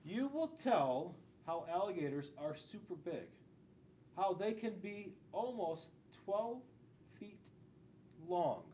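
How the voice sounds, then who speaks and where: Animated middle-aged man reading to children. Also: sits high, slight background noise. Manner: emphatic, drawn-out key words with asides to young listeners. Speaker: school resource police officer. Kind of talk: children's picture book read-aloud. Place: indoors in a school classroom.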